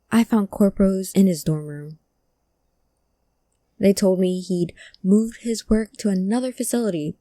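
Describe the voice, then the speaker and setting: A woman playing a guarded, grieving friend of a missing person in a cyberpunk audio drama, halting and emotional in delivery. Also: fairly low pitch, mellow, no echo.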